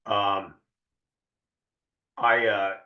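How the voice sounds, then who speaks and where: A hoarse man giving a live trading lesson in a conversational way, often repeating himself and checking in with viewers. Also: fairly low pitch, little pitch movement, very slow, echoey room, dull audio. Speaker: trading educator.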